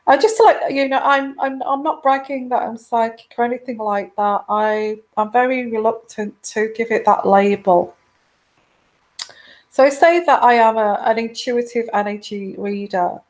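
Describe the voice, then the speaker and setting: A woman hosting a live tarot show, speaking quietly through a sore throat from a virus, hesitant with many pauses and restarts. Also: a little high, poor audio. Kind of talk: casual live-stream chat.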